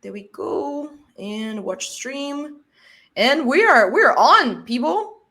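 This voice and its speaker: A woman's voice: sits high, big pitch swings, slow pace, a bit bright. A Brazilian woman with a Brazilian accent, a native Portuguese speaker, hosting a casual live stream, laughing often and swearing lightly. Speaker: stream host.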